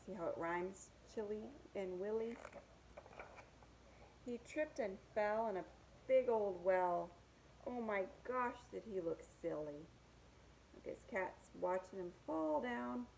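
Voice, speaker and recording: A woman's voice: mid-range voice, animated, slow, vocal fry, pauses often, darkish tone, a little hiss or hum, rough recording. A woman reading picture books aloud to young children, with sing-song rhymes and simple asides about the pictures.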